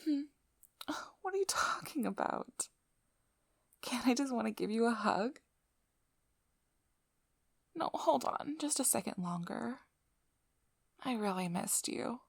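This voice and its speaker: A woman playing a devious, vigilant vampire hunter in a scripted ASMR roleplay, teasing and controlling, with a breathy voice that drops into whispers. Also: fairly high pitch, animated, slow, pauses often, no room sound, exaggerated voice. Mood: playful, affectionate. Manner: teasing, playful, coolly controlling. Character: devious, vigilant female vampire hunter, flirty then businesslike. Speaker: audio roleplay performer.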